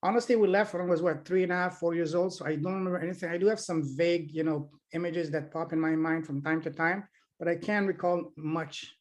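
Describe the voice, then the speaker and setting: A man's voice: fairly high pitch, steady tone, fast talker. A middle-aged man from Afghanistan answering interview questions about his childhood, with many fillers and restarts. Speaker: podcast host being interviewed.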